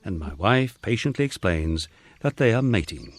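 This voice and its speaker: A man narrating a first-person memoir in a measured, storytelling way, as an audiobook reader. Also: fairly low pitch, big pitch swings.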